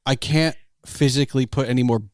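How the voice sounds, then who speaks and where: A man's voice: medium pitch, steady tone, brisk, dry recording, studio quality. A man hosting a podcast in a casual, informal way, talking emphatically and repeating his phrases. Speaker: podcast host. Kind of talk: casual chat.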